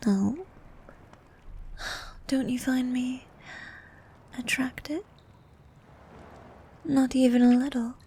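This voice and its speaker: A woman playing a bossy, haughty ancient sea queen monster in an ASMR roleplay, with a soft, partly whispered voice and regal, condescending manner. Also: high voice, fairly even intonation, slightly rough, exaggerated voice. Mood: haughty, flirtatious. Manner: regal, condescending, formal, unhurried.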